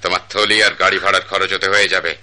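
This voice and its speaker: A man's voice: low voice, flat delivery, racing, sharp tone. An actor playing a stern, imperious wealthy father who scolds his servant and his son. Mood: scornful. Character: stern wealthy middle-aged father, master of house. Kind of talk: radio drama acting.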